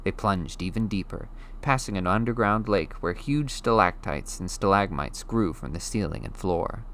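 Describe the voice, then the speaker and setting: A man reading a novel chapter aloud as an audiobook narrator, with steady, clear storytelling. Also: sits low, moderate pace. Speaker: audiobook reader.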